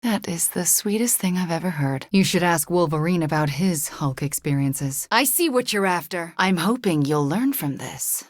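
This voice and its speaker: A young sorceress from a video game, with a fairly low female voice in a dry, close studio sound. She is guilt-ridden yet wry and guarded. Her delivery is earnest and often hushed. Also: expressive, normal speed, hardly any pauses, no room sound. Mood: sincere, determined. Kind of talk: acted video game dialogue.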